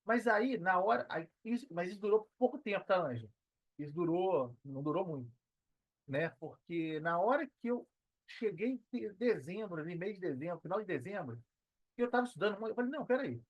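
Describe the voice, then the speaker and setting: A man's voice: sits high, no echo. A Brazilian man speaking casually and emphatically as an interview guest, using colloquial speech and often quoting his own thoughts. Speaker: podcast guest, affiliate marketer. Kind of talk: casual interview talk, telling own experience.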